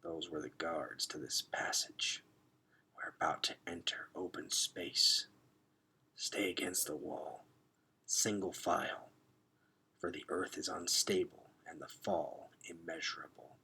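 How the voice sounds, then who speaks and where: A man voicing a grim, commanding rat warrior in a storybook, giving terse orders in a hushed whisper. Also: low voice, steady tone, slow, gravelly, husky, pitched below the performer's own voice, heavily acted. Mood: hushed, warning.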